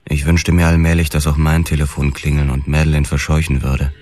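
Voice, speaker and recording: An actor playing a world-weary police detective who narrates his own story in a measured, deadpan way for a radio drama. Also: very deep voice. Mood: detached, weary.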